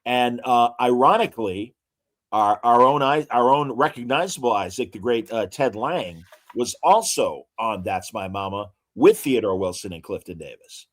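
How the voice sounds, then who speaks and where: A man co-hosting a casual podcast, reading out actor credits with frequent hesitant pauses and showman asides to the audience. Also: medium pitch, animated. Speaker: podcast co-host.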